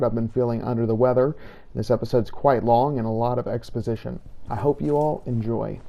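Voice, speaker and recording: A man's voice: medium pitch, vocal fry, dark tone. A man hosting a game playthrough, reading scripted role-play narration as a dramatic storyteller. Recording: faint background noise.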